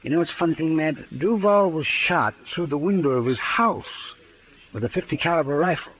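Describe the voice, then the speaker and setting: An actor playing a chatty, folksy town doctor in a 1950s radio western, with a musing manner. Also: male voice, fairly high pitch, expressive, fairly quick, a bit bright. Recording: noisy 1950s radio recording.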